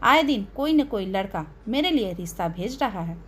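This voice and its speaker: A woman's voice: medium pitch, animated, normal speed, a bit bright, slight background noise, pitched below the performer's own voice, natural voice. A woman voicing a pushy, callous young girlfriend in a story, speaking insistently. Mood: demanding.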